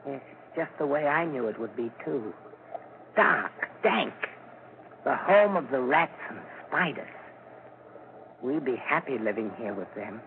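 A man's voice: mid-range voice, lively intonation, unhurried, pauses often. An actor playing a sly, bitter, sinister man who hates everyone, theatrical and mocking, in a 1940s radio drama. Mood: gloomy, gloating. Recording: scratchy, tinny 1940s radio recording.

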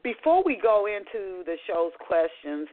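A woman's voice: mid-range voice, unhurried, slight background noise. Chatty woman host on a phone line. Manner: chatty, animated, restarts often. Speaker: radio show host. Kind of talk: book talk radio interview. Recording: tinny phone-line audio.

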